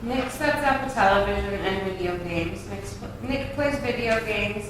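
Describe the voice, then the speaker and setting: A woman's voice: mid-range voice, animated, a little slow. A woman reading stage directions as scripted narration at a table read. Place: reverberant room, far from mic. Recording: echoey room, distant mic, faint noise.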